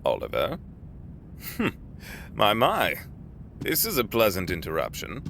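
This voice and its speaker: An actor playing a courteous middle-aged executive, avuncular and formal, with genteel, deliberate phrasing in an audio drama. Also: male voice, lowish voice, wildly swooping pitch, creaky, fairly bright tone, a little hiss or hum, exaggerated voice. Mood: warm, pleased.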